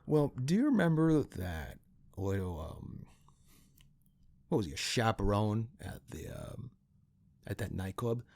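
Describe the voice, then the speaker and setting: Male actor as pragmatic vigilante's accomplice, lowish voice, swinging intonation, casual conspiratorial planning, halting delivery, ASMR roleplay. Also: sing-song, gravelly, darkish tone, heavily acted. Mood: matter-of-fact.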